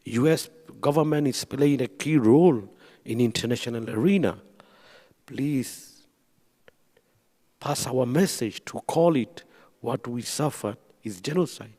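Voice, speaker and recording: Man, slow earnest panelist, reverberant hall. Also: medium pitch, mellow. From Burma. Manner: earnest, non-native grammar, repeats phrases for emphasis. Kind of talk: speaking at a panel event.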